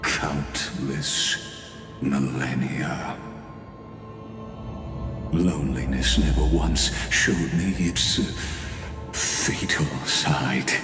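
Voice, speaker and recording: Ancient prisoner mastermind for a game: condescending, theatrical, drawn-out pacing, rock-bottom register, music behind. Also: male voice, a bass voice, steady tone, full character voice. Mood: serene, reflective. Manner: slow, theatrical, mocking, with deliberate dramatic pauses. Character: calm, condescending male imprisoned villain, ancient scheming mastermind. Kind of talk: dramatic video game villain acting. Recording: echoey long reverb, music underneath.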